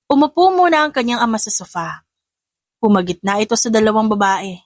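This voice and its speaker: A woman's voice: fairly high pitch, very animated, a little fast, no echo, studio quality. A woman narrator reading a scripted dramatic story.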